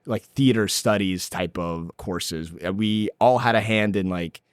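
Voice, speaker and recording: Chatty low-voiced man in his late twenties. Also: unhurried, smooth, no echo, studio quality. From El Salvador. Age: late 20s. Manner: chatty, laughs often, lots of "like". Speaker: guest actor. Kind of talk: casual interview chat.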